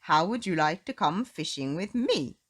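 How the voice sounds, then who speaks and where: A friendly old storybook fisherman, acted by a woman, speaking slowly and patiently. The words are drawn out with a lively rise and fall. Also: fairly low pitch.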